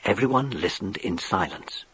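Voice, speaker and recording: A man narrating a children's mystery story in a measured, expressive storybook style. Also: low voice, slightly rough, full character voice, poor audio. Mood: measured storytelling.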